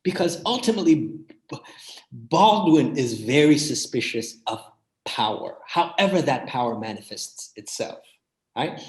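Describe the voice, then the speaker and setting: A man's voice: fairly high pitch, roomy sound, low-quality recording. A man speaking as a guest scholar in a panel talk, making emphatic, thoughtful points and often checking them with a short tag question.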